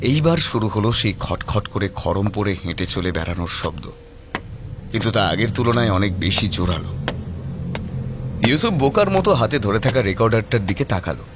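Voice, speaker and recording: A man's voice: lowish voice, animated, normal speed, over music. A man narrating a scripted horror story in a theatrical, suspenseful manner.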